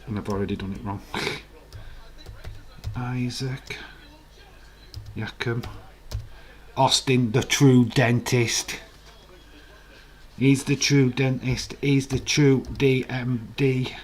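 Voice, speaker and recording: A man with a croaky, hoarse voice, hosting a casual live stream, chatting in a rambling way and reading out viewer comments. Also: medium pitch, fairly even intonation, extremely slow, long pauses.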